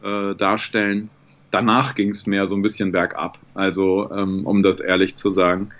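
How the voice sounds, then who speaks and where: Male guest, forties, low voice, reflective and hesitant, muffled home video-call sound. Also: level tone, moderate pace, fairly bright tone. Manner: reflective, thinks aloud with many fillers and restarts. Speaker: guest voice actor. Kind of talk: casual video-call interview. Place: home room with bookshelves.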